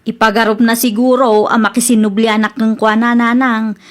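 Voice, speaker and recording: A woman's voice: on the high side, flat delivery, moderate pace, close to an everyday voice. A woman playing an earnest, easily exasperated young engaged woman in an Ilocano Filipino radio comedy drama. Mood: annoyed.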